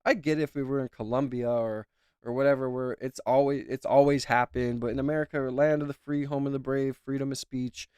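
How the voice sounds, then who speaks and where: A man's voice: mid-range voice, fairly even intonation, brisk, darkish tone, clean tone, no echo, clean studio sound. A man commenting as a YouTuber, talking in a rambling, emphatic way and repeating himself. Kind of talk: casual reaction commentary.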